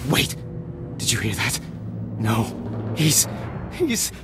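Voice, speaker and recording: An actor playing a frightened, bitter Jedi apprentice in a video game, agitated and dramatic, moving between dread and defiance. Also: male voice, on the low side, huge pitch swings, slow, loud music behind the voice, exaggerated voice. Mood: fearful, agitated.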